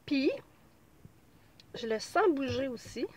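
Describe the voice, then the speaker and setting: A woman's voice: sits high, slow, slightly rough. A woman in her thirties with a Québécois accent, chatting casually to camera from her car while she has a cold, rambling with many fillers. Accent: Québécois French. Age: 30s. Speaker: pregnancy vlogger. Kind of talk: casual vlog chat.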